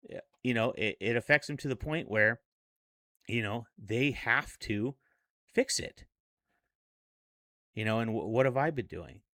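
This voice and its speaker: A man of forty speaking in a casual, reflective vlog monologue, earnest and a little halting. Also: fairly low pitch, a bit bright, dry recording, studio quality. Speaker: self-improvement vlogger.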